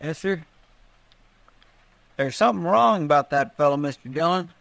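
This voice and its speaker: Old radio western sidekick: garrulous, deferential deputy, creaky highish voice. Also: male voice, expressive, moderate pace, a bit bright, dry recording. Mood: puzzled, earnest. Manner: rambling, deferential, folksy. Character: folksy, earnest, garrulous deputy of a western marshal. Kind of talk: 1950s western radio drama acting.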